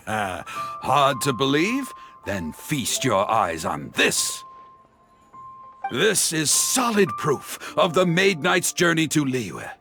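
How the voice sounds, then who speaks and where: A man voicing a theatrical storyteller in a video game, spinning heroic tales in a grandiose, declamatory way with suspenseful pauses. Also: mid-range voice, sing-song, creaky, music in the background, exaggerated voice. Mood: grand, showy.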